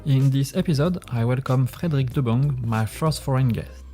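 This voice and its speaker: A man, a native French speaker, hosting a podcast and asking questions in careful, slightly halting English. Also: mid-range voice, dark tone, faint music behind. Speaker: podcast host. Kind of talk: interview questions.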